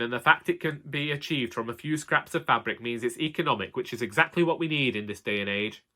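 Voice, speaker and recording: A man's voice: medium pitch, bright, forceful tone. A man with a Northern English accent narrating a comic monologue as a put-upon, exasperated husband in colloquial language. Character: put-upon, indignant older husband, chatty storyteller. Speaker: audiobook narrator. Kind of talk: comic first-person monologue in character.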